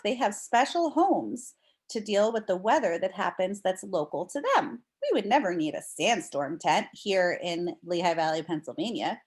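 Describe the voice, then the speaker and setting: An American woman reading a non-fiction book aloud to young children as a teacher, explaining it in a deliberate way and addressing her listeners directly. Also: fairly low pitch.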